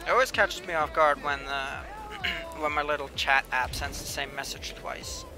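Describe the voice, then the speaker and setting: A young man with a slightly high, somewhat whiny voice talks casually to his viewers while he plays. He trails into quiet asides to himself, and music plays clearly behind him. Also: wildly swooping pitch, moderate pace. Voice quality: says he has a whiny voice. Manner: chatty, mumbles asides to himself while playing. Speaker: game streamer. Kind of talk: casual live-stream commentary, reading chat. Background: background music.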